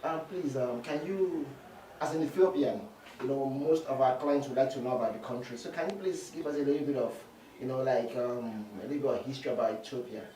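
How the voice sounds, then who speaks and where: Man hosting an interview, formal and polite, mid-pitched voice, off-mic in a roomy space. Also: fairly quick, mellow. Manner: formal, courteous address with fillers. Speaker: interviewer host. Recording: distant roomy mic with faint noise.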